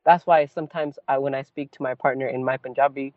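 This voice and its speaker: A man from California, a poet and activist, telling his story as an interview guest in an animated, casual way, often saying "like" and "right?". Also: medium pitch, quick pace, no echo. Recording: tinny phone-like call audio.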